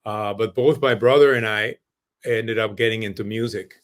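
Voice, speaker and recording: A middle-aged man from Mexico, a musician guest recalling his start in an unhurried, thoughtful way. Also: fairly low pitch, fairly even intonation. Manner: thoughtful, many fillers and restarts. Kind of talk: podcast interview.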